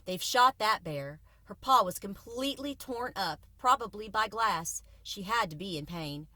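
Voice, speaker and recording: A woman's voice: medium pitch, lively intonation, unhurried, bright, forceful tone, dry recording. A woman voicing a shaken, somber young man in a storybook, grave and earnest as he gives grim news.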